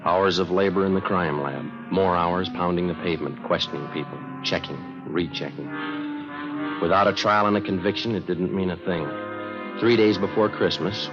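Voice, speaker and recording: An American actor playing a terse, dogged police detective in a 1950s radio crime drama, clipped, deadpan and matter-of-fact. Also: male voice, deep voice, normal speed, lightly acted. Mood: flat, factual. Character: terse, dogged male police detective narrating his case. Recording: muffled old radio recording with music.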